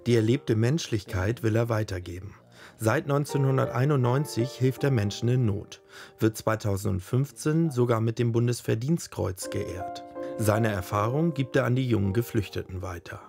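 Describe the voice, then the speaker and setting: A man narrating a television documentary report in a calm, even, scripted reporting tone. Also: mid-range voice, normal speed, vocal fry. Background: faint music.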